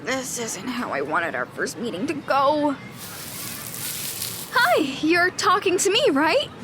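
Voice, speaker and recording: Woman playing a high-pitched, plucky teenage girl investigator. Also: takes it slowly, background noise, heavily acted. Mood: nervous, proud. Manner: self-important, expressive, exasperated. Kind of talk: audio drama acting.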